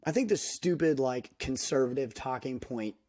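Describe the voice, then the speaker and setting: A man of medium pitch co-hosting a casual podcast, speaking with opinionated emphasis. His voice jumps upward when he gets worked up. The sound is dry and close. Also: normal speed, darkish tone, no room sound. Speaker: podcast co-host. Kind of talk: casual chat.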